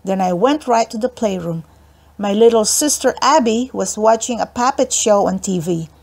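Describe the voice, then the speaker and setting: A woman narrating a children's book aloud in an expressive storytelling style. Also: medium pitch, normal speed, creaky. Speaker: audiobook narrator.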